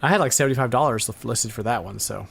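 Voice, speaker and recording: Guy hosting a retro gaming podcast, medium pitched voice, loose casual chat. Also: fast, creaky, fairly bright tone, breathy edge. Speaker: podcast host. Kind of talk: casual chat about retro video games.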